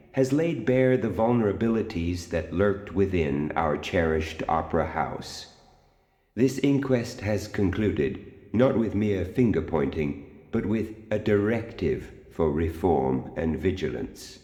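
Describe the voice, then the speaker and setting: Deep male voice, acted stern chairman, solemn measured oratory, vast echoing hall, audio drama. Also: darkish tone. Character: grave, formal male inquest chairperson addressing an opera house. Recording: cavernous reverberant hall, faint music bed.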